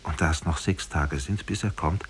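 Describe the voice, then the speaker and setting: A man's voice: a bass voice, normal speed, a little hiss or hum. A man voicing a lonely captive princess who speaks formally and earnestly in a 1950s radio play. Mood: earnest, sad. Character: lonely captive young princess, courteous and sad. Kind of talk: 1950s German radio drama storytelling.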